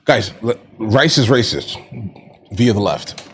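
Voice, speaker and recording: A man's voice: on the low side, big pitch swings, slow pace, slight background noise. A man giving casual, incredulous commentary as a reaction video host.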